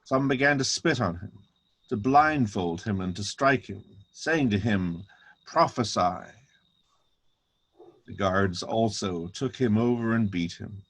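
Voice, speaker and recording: Low, husky male scripture narrator. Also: takes it slowly, fairly bright tone.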